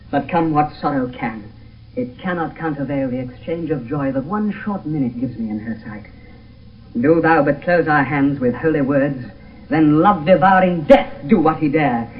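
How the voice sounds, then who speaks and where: A man's voice: fairly high pitch, lightly acted. A man playing a character in a Shakespeare tragedy on radio, speaking verse in a declamatory, poetic way. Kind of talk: 1970s radio Shakespeare acting. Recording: muffled phone-like vintage radio broadcast, distant.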